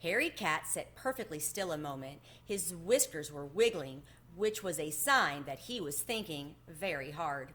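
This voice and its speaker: Bright-voiced woman reading a children's book. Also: deep voice, animated, measured pace, few pauses. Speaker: read-aloud storyteller.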